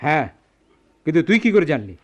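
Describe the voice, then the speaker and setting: An actor playing a proud gold merchant boss in a radio drama, speaking formal Bangladeshi Bengali with theatrical emphasis. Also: male voice, a little high, big pitch swings, fast. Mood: stern, suspicious. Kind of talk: Bengali radio play acting. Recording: dull lo-fi radio drama recording.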